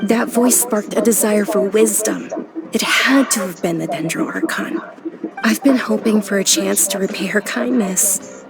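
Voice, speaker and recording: A woman's voice: mid-range voice, measured pace, gravelly. An earnest, bookish young noblewoman. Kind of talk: video game voice acting. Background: game music.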